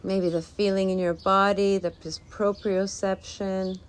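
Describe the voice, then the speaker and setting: Woman, meditation teacher, mid-range voice, gentle instruction, unscripted, halting phrases. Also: medium pitch, flat delivery, slight background noise. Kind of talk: guided meditation talk, informal and unscripted. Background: birdsong.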